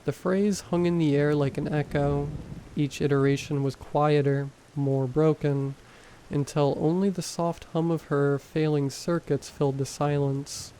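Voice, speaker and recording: A man narrating horror stories in a calm, measured storytelling voice. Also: a little high, slight background noise, low-quality recording. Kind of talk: scripted narration.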